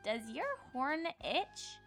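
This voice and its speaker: A woman's voice: high-pitched, very animated, extremely slow, vocal fry, a bit bright, faint music behind, heavily acted. Sly young pony princess played by a woman. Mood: composed. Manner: sly, teasing. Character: composed young alicorn pony princess, slyly teasing. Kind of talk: dramatized fan fiction reading.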